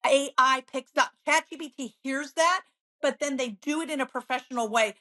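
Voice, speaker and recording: A woman real estate agent as an interview guest, bubbly and upbeat, telling stories with lively enthusiasm. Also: sits high. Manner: bubbly, upbeat, quick to laugh. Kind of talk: conversational interview.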